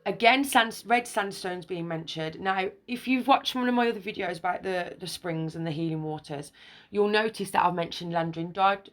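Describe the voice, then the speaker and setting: A woman with a fairly low voice reads an old article aloud, tripping over unfamiliar words and names along the way. Between passages she breaks into excited, emphatic commentary, her voice lifting with enthusiasm. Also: moderate pace. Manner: stumbles over old words and place names while reading. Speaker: video creator.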